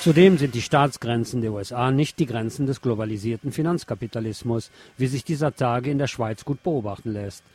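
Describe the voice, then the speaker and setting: A man's voice: mid-range voice, fairly quick, few pauses, background noise. A man reads scripted political commentary as a radio presenter. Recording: music playing behind the voice. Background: music.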